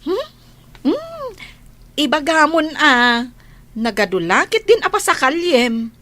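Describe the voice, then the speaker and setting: A woman's voice: high-pitched, sing-song, slow pace, sharp tone, exaggerated voice. A woman with an Ilocano accent playing a loving, trusting overseas worker and older sister in a radio drama. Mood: affectionate. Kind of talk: Filipino radio drama acting.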